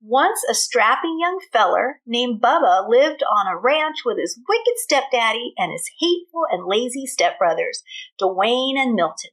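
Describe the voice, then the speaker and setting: A woman teacher reading a storybook aloud to young children, pausing to explain and ask questions. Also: a little high, big pitch swings, a bit bright, poor audio. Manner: explanatory, pauses to question the listeners.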